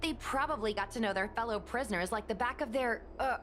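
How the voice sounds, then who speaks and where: A woman voicing an upbeat, excitable young woman who streams online, chatty and eager in a game cutscene. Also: fairly high pitch, fairly quick, natural voice. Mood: excited. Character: bubbly young woman street performer turned online streamer. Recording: game cutscene with music behind.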